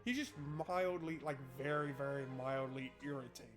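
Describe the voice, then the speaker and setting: A man's voice: mid-range voice, normal speed, a bit bright, soft background music. A man doing casual, joking playthrough commentary and reading game narration aloud in an informal way. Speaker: game streamer.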